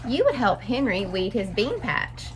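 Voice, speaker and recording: A woman's voice: mid-range voice, very animated, unhurried, noisy background. A woman reading a picture book aloud to young children, adding simple explanatory asides as a story-time teacher.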